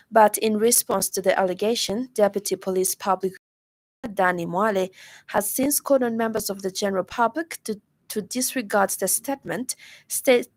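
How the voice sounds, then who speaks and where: Zambian lady doing formal radio news, bit halting, dry close studio sound. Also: medium pitch, no room sound. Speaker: news reader.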